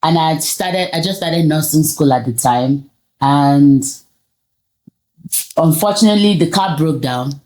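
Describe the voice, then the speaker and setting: A Nigerian woman hosts a relaxed, conversational talk. Her voice sits low for a woman. She speaks earnestly, often pausing and repeating words as she finds her way through a thought. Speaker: host of a talk show. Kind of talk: casual conversational hosting.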